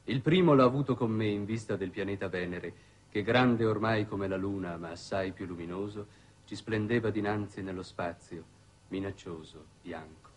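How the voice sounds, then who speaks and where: A man's voice: fairly low pitch, normal speed, lightly acted. An actor playing a cold, impassive male secret agent, narrating a formal report in a 1960s television drama.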